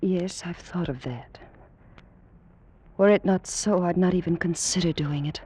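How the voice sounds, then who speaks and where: A woman's voice: fairly low pitch, a little slow, mellow, husky. A woman acting a passionate young noblewoman of ancient Egypt in an old-time radio romance, with formal, theatrical delivery. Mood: fearful, torn.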